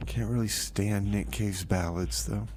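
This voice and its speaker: A man hosting a music radio show in a casual, low-key, slightly hesitant and downbeat manner. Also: steady tone, a little slow, noisy background. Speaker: radio host.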